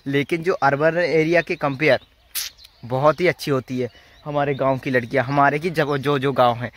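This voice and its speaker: A man's voice: mid-range voice, steady tone, normal speed, a bit bright. A young man chatting casually to viewers in a vlog, addressing them informally as brothers. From rural India. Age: young adult. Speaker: vlogger. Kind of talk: casual vlog chat to camera. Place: outdoors in village fields and tented cricket ground. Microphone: phone at arm's length. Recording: noisy outdoor phone recording.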